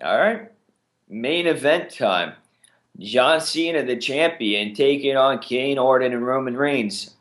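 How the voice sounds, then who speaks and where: A man's voice: medium pitch, slow pace. Casual man in his mid range, easygoing podcast co-host, talks in fits and starts. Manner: relaxed, hesitant with fillers. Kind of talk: casual wrestling podcast chat.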